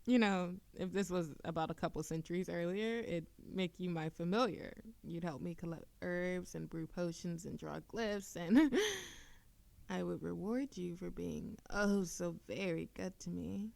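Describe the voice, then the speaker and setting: A woman playing an affectionate, playful and easily flustered young witch in love, speaking softly and haltingly in an intimate romantic audio roleplay. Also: on the low side, vocal fry, dry recording. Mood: tender, playful. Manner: soft, halting, teasing, giggly.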